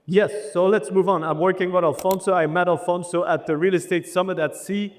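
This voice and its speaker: A man's voice: sits high, steady tone, fairly quick. A man with a Belgian accent giving an enthusiastic motivational business presentation to a live audience, as a keynote speaker. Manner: enthusiastic, rallying the audience with questions. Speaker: keynote speaker, landscape architect. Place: indoor event hall, speaking on stage. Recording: reverberant hall sound.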